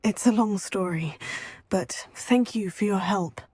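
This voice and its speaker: A woman playing a calm, polite, brave teenage student witch in a video game. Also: mid-range voice, unhurried, breathy edge, dry recording, clean studio sound. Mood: curious, composed. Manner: composed, polite.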